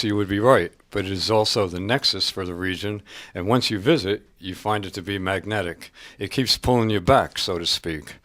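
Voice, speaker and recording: A man's voice: lowish voice, a bit bright, no room sound, natural voice. A man playing a composed narrator, delivering scripted explanatory narration for radio theatre. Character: composed male narrator explaining local village life.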